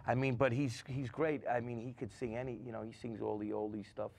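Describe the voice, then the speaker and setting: A man's voice: medium pitch, little pitch movement, a little fast, gravelly, a little mellow. A middle-aged man, a guest musician rambling through stories in casual chat with many restarts.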